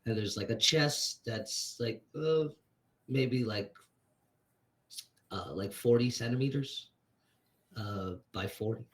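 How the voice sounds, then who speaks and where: A man's voice: medium pitch, takes it slowly, long pauses. A man narrating a tabletop game as game master, describing scenes hesitantly while he searches for words.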